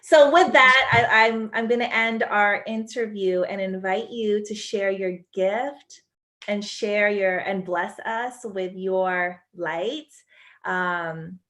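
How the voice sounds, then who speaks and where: A woman's voice: mid-range voice, slow, fairly bright tone. A woman hosting a podcast interview, asking long, rambling questions in a casual, chatty way with plenty of fillers. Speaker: podcast host.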